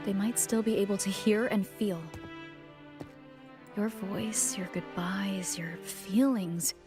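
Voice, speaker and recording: A woman playing a gentle young adventurer in a video game, speaking softly and earnestly to comfort someone. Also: mid-range voice, steady tone, slow, close to an everyday voice. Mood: gently reassuring. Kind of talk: English video game voice acting. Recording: game audio with music behind. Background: background music.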